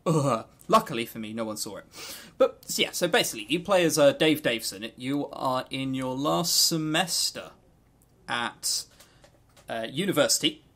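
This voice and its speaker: A man co-hosting a podcast, chatting casually about games and telling stories in an animated, jokey, sweary way. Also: medium pitch, normal speed. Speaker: podcast co-host.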